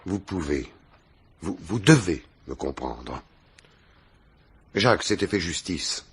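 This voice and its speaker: Haughty low-voiced gentleman, dramatic swings. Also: lively intonation, takes it slowly, slightly rough, exaggerated voice. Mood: earnest appeal. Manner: formal, stiff, imperious. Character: haughty, proud, indignant upper-class gentleman defending family honour. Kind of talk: French radio mystery play acting.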